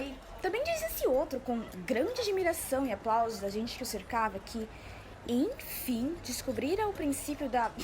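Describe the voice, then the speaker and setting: Young woman as high-voiced earnest male interpreter. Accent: Brazilian. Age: teenager or young adult. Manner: declamatory, earnest storytelling. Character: male interpreter friend relaying boastful orators' grand claims. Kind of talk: student radio drama of literary classic. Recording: faint background noise.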